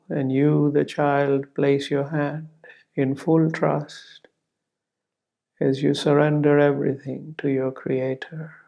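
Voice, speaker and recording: Very slow, gravelly man guiding meditation. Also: mid-range voice. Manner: gentle, reverent, measured with long pauses and repeated words. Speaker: meditation guide. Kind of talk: slow guided spiritual meditation.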